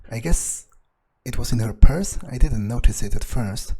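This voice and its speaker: A man playing a wary vampire in a video game, thinking aloud in a halting, muttering way. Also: mid-range voice, lively intonation, warm tone, slightly rough. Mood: hesitant, casual. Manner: halting, musing, muttering with hums. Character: wary male vampire muttering his thoughts while exploring. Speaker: gameplay streamer playing a character. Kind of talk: in-character roleplay commentary, thinking aloud.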